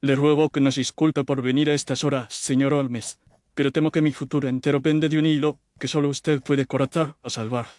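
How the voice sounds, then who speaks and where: A man's voice: medium pitch, flat delivery, normal speed, darkish tone, no echo, studio quality, voice pushed up, close to an everyday voice. A man voicing a humble, anxious young insurance clerk, formal and deferential, in an audio detective story. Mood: anxious, earnest.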